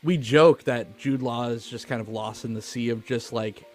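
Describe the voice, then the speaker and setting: A man's voice: mid-range voice, moderate pace. A man co-hosting a podcast, discussing films casually in an emphatic, informal way peppered with swearing. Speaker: podcast co-host. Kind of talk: casual movie review chat. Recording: music playing behind the voice. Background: background music.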